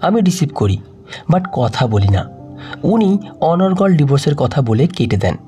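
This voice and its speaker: A man voicing a polite young man calmly explaining events in an audio story. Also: fairly high pitch, a little slow, soft background music.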